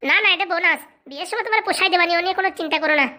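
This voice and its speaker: A woman's voice: very high voice, sharp tone. A woman voicing a cunning, boastful young male political fixer in a comic cartoon, brash and bossy, with a rural Bengali accent. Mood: insistent, scheming. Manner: brash, bossy, fast-talking.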